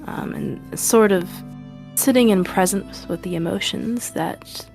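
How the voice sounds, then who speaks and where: A woman's voice: mid-range voice, a little slow, darkish tone, faint music behind. A woman playing a tabletop game, improvising narration of a character in a hesitant, reflective way. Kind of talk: improvised third-person roleplay narration.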